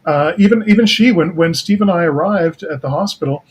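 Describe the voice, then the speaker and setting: Husky, higher-voiced man, halting interview chat. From Canada or the United States. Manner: halting, repeats words while thinking. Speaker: guest, writer-producer of an audio drama.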